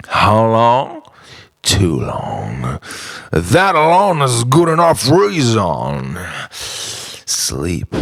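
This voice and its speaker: A man delivers a dramatic spoken word piece in slow, weighted phrases. His voice is gravelly with fry and moves across a huge range between hushed and forceful delivery. The sound is dry and close. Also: sits low, no room sound. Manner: dramatic, swinging between hushed whispers and shouted outbursts; short, deliberate phrases with heavy pauses. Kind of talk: theatrical spoken word poetry monologue.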